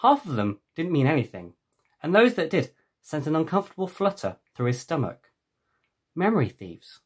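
A middle-aged man with a husky voice narrating a children's adventure novel as its author, recorded at a desk in a room at home. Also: fairly high pitch, very animated, normal speed. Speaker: author reading own book. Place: small home room with bookshelves. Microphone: webcam at desk distance.

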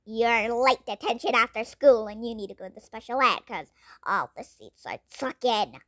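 A woman's voice: fairly high pitch, lively intonation, moderate pace, no room sound, studio quality, pitched below the performer's own voice. A woman playing a bossy, scolding schoolteacher. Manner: bossy, loud scolding orders. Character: strict, snappy female schoolteacher. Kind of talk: game roleplay voice acting.

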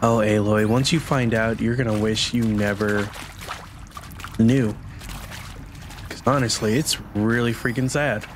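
A man's voice: fairly low pitch, fairly even intonation, measured pace, pauses often, a little hiss or hum. A man with a stuffy nose from a cold, casually commentating a video game playthrough. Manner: casual, chatty. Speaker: gaming channel host. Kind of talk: let's play commentary.

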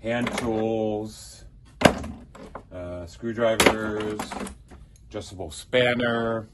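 A man's voice: lowish voice, steady tone, drawn-out words, heavy background noise. A middle-aged man giving a casual, rambling tour of his prop box in a theater prop shop, listing tools with many ums and uhs. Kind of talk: casual show-and-tell tour.

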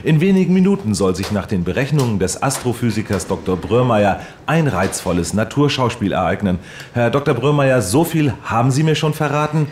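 An actor playing an earnest radio reporter, formal and florid, in a parody of live reportage. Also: male voice, medium pitch, animated, fairly quick, darkish tone, a little hiss or hum, lightly acted. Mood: solemnly intrigued. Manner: formal, florid, straight-faced. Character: earnest, overblown male radio reporter on location.

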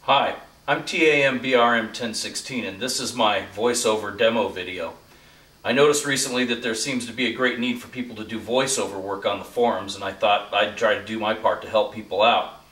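A man with a lowish voice talks to camera as an amateur voice-over artist. He sounds set back from the microphone in a small, echoing home studio. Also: fairly quick, a bit bright. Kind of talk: movie-trailer narration and talk to camera. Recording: roomy, distant from mic.

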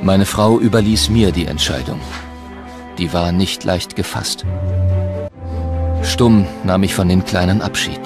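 A man playing a sober, earnest miner recalling his life in measured words for a historical radio documentary. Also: low voice, over music. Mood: solemn.

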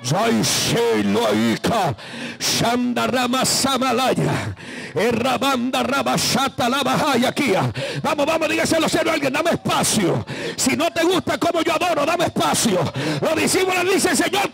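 A man preaching a fervent Pentecostal sermon in a gravelly, strained voice, impassioned and exclamatory, repeating phrases for emphasis. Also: very high voice, a bit bright. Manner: breaks into speaking in tongues and calls on listeners to respond.

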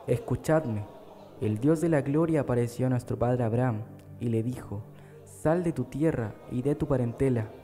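A steadfast, devout preacher in a radio drama, acted by a man, telling sacred history solemnly and deliberately. His mid-pitched voice is very dark and soft, over faint music. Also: natural voice. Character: devout accused young preacher addressing a council, steadfast. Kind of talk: amateur radio drama oratory.

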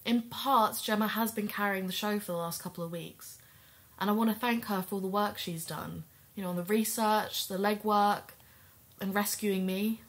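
A sincere woman played by an actress co-hosts an investigative podcast in an audio drama. Her pitch is average and her manner determined. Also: steady tone, normal speed, natural voice. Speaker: podcast co-host.

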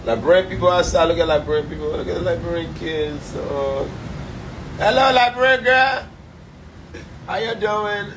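A man livestreaming from a high-rise room by the beach on a phone at arm's length, talking loud, profane and animated. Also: normal speed, slight background noise, low-quality recording. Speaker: comedian livestreaming. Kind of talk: casual live stream chat. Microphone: phone held at arm's length.

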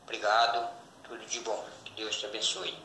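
A man's voice: fairly low pitch, flat delivery, normal speed, pushed and bright. A Brazilian man leaving a hesitant, polite testimonial as a voice message. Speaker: customer giving a testimonial. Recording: echoey voice note replayed from phone.

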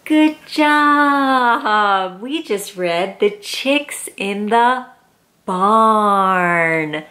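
A woman's voice: mid-range voice, expressive, drawn-out words, pushed and bright. A woman in her thirties reads a picture book aloud to young children in an exaggerated, sing-song, encouraging way. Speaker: children's read-aloud host. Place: small plain room indoors.

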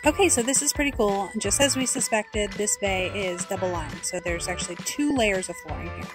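A woman's voice: medium pitch, animated, normal speed. Female vlog narrator, casual and explanatory, mid-range voice, music bed behind. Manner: conversational, explanatory. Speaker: host narrator. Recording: background music under voice-over.

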